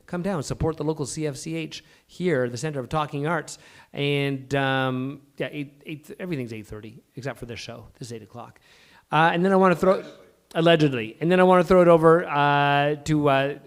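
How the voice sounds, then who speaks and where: A man hosting a live stage show, chatting casually and teasing his guests with frequent interjections. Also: fairly high pitch. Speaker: host of live table read. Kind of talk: casual bantering chat on stage. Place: live stage in small venue.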